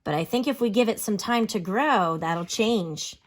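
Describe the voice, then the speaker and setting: Lady teacher doing an expressive read-aloud for kids, stops to explain words and ask questions, medium pitch. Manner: instructive, explains words and pauses to pose questions. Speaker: elementary school teacher reading a picture book aloud.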